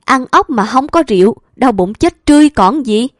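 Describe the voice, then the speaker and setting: A woman voicing an excitable, rowdy peasant man with a Southern Vietnamese accent, talking loud and fast. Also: on the high side, no echo, clean studio sound, natural voice. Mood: eager.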